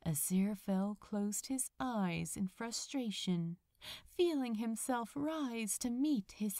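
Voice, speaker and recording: Woman doing dramatic audiobook reading, really lively pitch swings, takes her time, dry sound. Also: no echo. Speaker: fan fiction narrator. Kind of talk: dramatic scripted audiobook narration.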